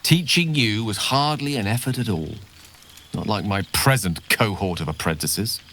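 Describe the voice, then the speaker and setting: An actor playing a genial, erudite wizard professor in a video game, eloquent, wordy and a little pleased with himself. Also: male voice, fairly low pitch, normal speed, a bit bright, a little hiss or hum, close to an everyday voice. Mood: fond, nostalgic. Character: genial, erudite male wizard professor, self-satisfied yet warm-hearted.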